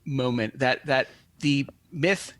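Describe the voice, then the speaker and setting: A man speaking as an academic guest in an interview, explaining analytically in measured, scholarly wording. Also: medium pitch, a bit bright, dry recording.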